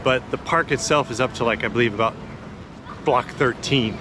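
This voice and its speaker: Clear outdoor city ambience surrounds a man with a medium-pitched voice as he films himself on a handheld action camera. He talks like a vlogger showing viewers around, casual and colloquial. His delivery is easygoing yet enthusiastic, as in an unscripted walk-and-talk. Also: normal speed, noisy background. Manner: laid-back, enthusiastic, colloquial. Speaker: vlogger giving a walking tour. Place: outdoors in a busy city park.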